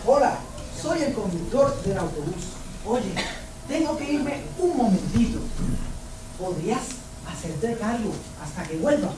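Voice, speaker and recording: A student voicing a friendly bus driver in a storybook read-aloud, in a cheerful, animated manner. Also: medium pitch, normal speed, lightly acted. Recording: echoey room, distant mic, background noise.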